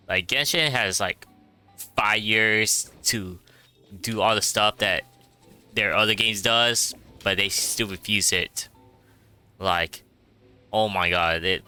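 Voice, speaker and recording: A man with a lowish but very bright, forceful voice streams a game. His commentary is casual and rambling, with music faintly behind him. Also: smooth. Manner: rambling, repeats himself, swears.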